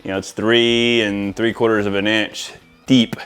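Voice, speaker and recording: A man's voice: fairly low pitch, flat delivery, measured pace, a bit bright, clean tone, soft background music, low-quality recording. A man talking informally to viewers as an installer, walking through a product demo in a casual way and addressing them as guys. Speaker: audio-video installer presenting to viewers.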